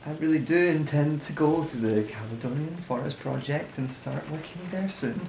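A man's voice: a little high, normal speed, creaky. An actor playing a weary, idealistic man with a hesitant, heavy delivery in a naturalistic audio drama. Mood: drowsy, tender. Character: weary, idealistic man longing to escape to forest work. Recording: echoey, noisy room recording.

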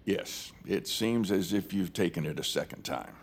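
Courtly cowboy senator with swooping pitch. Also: male voice, expressive. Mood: courtly, puzzled. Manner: courtly, folksy, drawn-out politeness. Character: courtly, formidable male cowboy senator, folksy and guarded. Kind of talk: audio fiction drama acting.

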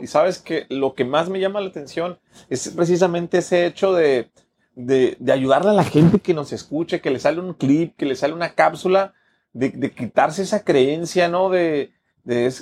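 A man's voice: on the high side, a little mellow, slight background noise. A man hosting a podcast, asking long, rambling questions with many restarts. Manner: rambling, stumbles over restarts. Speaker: podcast host. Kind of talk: interview questions.

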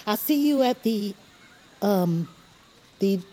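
A woman playing a sweet, flustered, admiring lady creature with a soft husky voice and halting, fluttery manner in a cartoonish audio drama. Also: mid-range voice, expressive. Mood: smitten, flustered. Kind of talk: cartoonish audio fiction acting.

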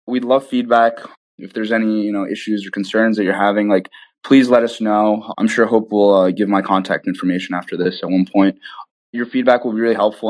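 A man speaking as an interview guest about his work, in an earnest, informal way with frequent "you know"s. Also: fairly low pitch. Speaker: guest, app developer. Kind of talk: casual interview conversation.

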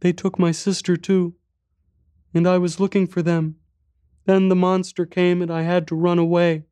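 A man voicing a small, lonely little boy in an audiobook, with soft, light, simple and plaintive speech. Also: high-pitched, level tone, moderate pace, clear, resonant tone, dry recording, voice pushed up, lightly acted. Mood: sad, scared. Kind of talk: audiobook character voice.

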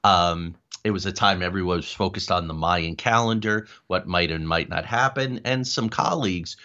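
A middle-aged man with a fairly low voice speaks as an interview guest. He explains and tells anecdotes in an animated, conversational way. The audio is somewhat dull and lo-fi. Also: moderate pace. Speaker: interview guest, paranormal investigator and writer.

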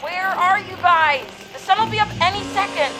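Very high-voiced, anxious young lookout. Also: female voice, normal speed. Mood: anxious urgency. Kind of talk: audio drama acting. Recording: loud music and effects underneath.

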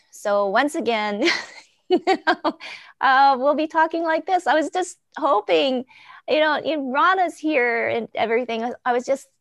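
A woman's voice: on the high side, no room sound, clean studio sound. A woman hosting a group video chat in a conversational, somewhat rambling way, circling back mid-sentence. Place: remote video call.

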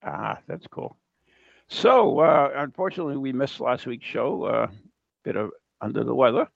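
Male American podcast host, animated and gravelly, playful casual delivery, dry lo-fi sound. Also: medium pitch, moderate pace, dry recording. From New England, US. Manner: playful, teasing. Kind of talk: casual, jokey chat. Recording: dull lo-fi audio.

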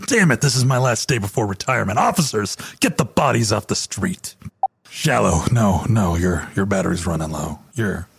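A voice actor goofing around between takes, playful and joking. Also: male voice, on the low side, animated, normal speed, gravelly, slight background noise. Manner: playful, jokey, swings between takes and banter.

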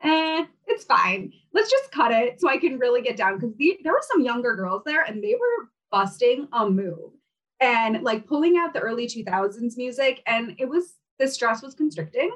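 A woman's voice: fairly high pitch, sing-song, moderate pace, far from the mic. A young woman from Kazakhstan, Russian her first language, chatting as an interview guest with many fillers and laughs. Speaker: guest, fashion brand founder. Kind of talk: casual interview chat.

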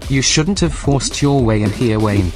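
Male artificial intelligence, audio drama, male actor: medium pitch, formal, measured, unyielding, faint music behind. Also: lightly acted. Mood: firm, warning.

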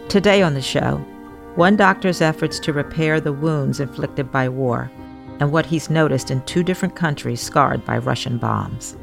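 A woman hosting a news podcast, narrating in a measured, explanatory way. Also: low voice, gravelly, soft background music. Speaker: guest podcast host.

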